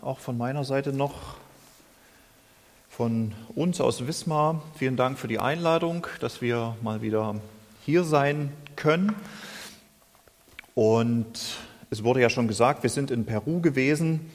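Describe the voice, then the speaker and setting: Man from Germany, sermon, slow and deliberate, long hall reverb. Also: mid-range voice. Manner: slow, deliberate, thoughtful delivery. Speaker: lay preacher.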